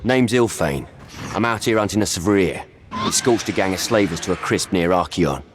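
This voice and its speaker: A man's voice: deep voice, animated, normal speed. An actor playing a laid-back male hunter who rides a drake, talking in dry clipped sentences. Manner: dry, clipped, drops words. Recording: noisy game background.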